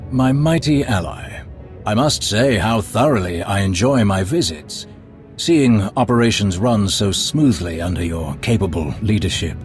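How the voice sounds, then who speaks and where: A lowish, silky male voice of a sly Sith schemer, polite and calculating. Loud music plays under his cutscene lines. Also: lively intonation. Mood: suave. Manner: suave, ingratiating, measured. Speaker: Imperial schemer.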